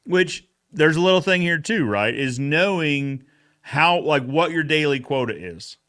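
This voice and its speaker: Higher-pitched man recapping a show in casual chat. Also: lively intonation, measured pace, fairly bright tone, smooth. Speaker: show host.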